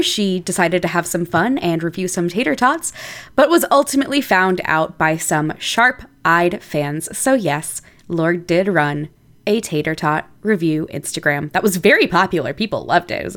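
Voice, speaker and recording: A woman's voice: on the low side, sing-song, normal speed, a bit bright. A woman presenting a chatty scripted podcast segment, speaking directly to listeners. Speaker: podcast producer with a regular segment.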